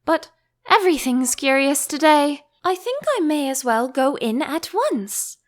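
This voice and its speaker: A woman's voice: sits high, lively intonation, measured pace. A woman voicing a curious, polite, easily confused young girl with a questioning manner in an audiobook. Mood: curious, resolved. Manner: cautious, questioning.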